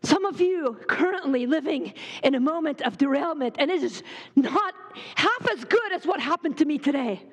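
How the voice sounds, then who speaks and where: A woman in her forties from Lebanon preaches at a women's retreat in a very high voice. She talks quickly, cracks jokes and then turns fervent. Her voice rings out through a large, echoey hall. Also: expressive. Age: 40s. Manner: fast, joking, fervent. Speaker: retreat speaker.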